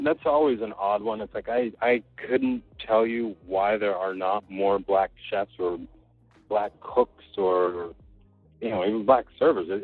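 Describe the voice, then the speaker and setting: Low husky-voiced man, tinny line. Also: dry recording. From Costa Rica. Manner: easygoing, rambling, many fillers. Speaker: chef being interviewed. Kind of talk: relaxed conversational interview.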